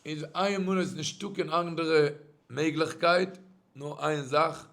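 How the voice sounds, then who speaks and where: A man's voice: fairly high pitch, slow pace, gravelly. A middle-aged man telling a story in a sermon, speaking with a Yiddish accent and often swinging his voice up and down. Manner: animated storyteller, voice rising and dropping sharply. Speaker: rabbi giving a religious talk.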